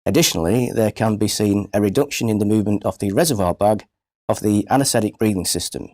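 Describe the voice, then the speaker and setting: A man reading scripted instructional narration for a medical training film in a measured, precise, formal manner. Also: fairly low pitch, a little mellow, no room sound.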